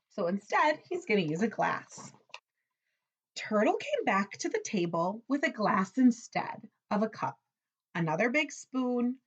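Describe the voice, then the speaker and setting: Female teacher, picture-book read-aloud: very animated intonation, unhurried, simple explanations and questions for kids. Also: mid-range voice, dull audio.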